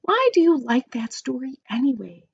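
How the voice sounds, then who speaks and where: A woman's voice: sits high, sing-song, moderate pace, gravelly, breathy edge, no echo, lo-fi sound, voice pushed up, heavily acted. A woman voicing an exasperated, easily embarrassed schoolgirl older sister from a storybook, complaining and pleading.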